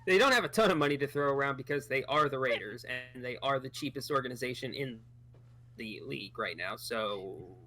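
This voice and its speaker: A thirty-year-old podcast co-host in casual sports chat, chatty and trailing off into low asides. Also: animated, brisk, sharp tone.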